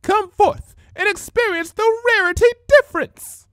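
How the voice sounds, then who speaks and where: A man's voice: extremely high pitch, a little slow, pushed and bright, no room sound, studio quality, voice pushed up, heavily acted. A man voicing a vain, villainous female changeling pony who gloats and declaims theatrically in a storybook reading. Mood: grandiose.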